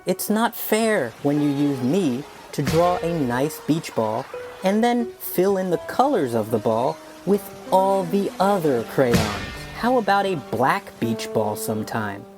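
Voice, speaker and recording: Woman as gloomy storybook crayon, resentful, very low, slow, guitar music behind. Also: very animated, full character voice. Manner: gloomy grumbling. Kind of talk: storybook read-aloud.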